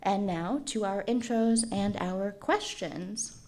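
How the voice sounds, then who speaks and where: Woman panel facilitator from the States, measured and earnest, mid-pitched voice, formal reading. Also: a little mellow. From United States. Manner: measured, earnest delivery, switching to French. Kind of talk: reading a land acknowledgement, then moderating.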